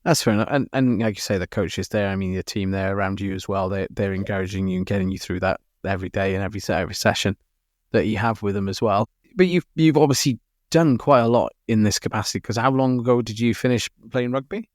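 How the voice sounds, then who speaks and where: Male podcast host, low pitch, dry close recording, loose and conversational. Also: fairly even intonation, fast, no room sound. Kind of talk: podcast interview.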